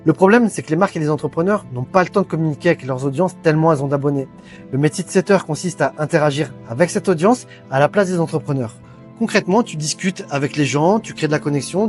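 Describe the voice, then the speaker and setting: A middle-aged man giving an earnest sales pitch for a training program, talking straight to the listener and stumbling over frequent fillers. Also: darkish tone. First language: French. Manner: hesitant, many fillers, earnest persuading. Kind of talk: direct-to-camera sales pitch. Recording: faint background music.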